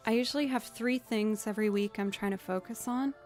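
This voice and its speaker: A young woman with a low voice, chatting casually and rambling to her live stream audience. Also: brisk, a little mellow, soft background music. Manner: chatty, rambling, self-deprecating. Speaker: streamer and musician.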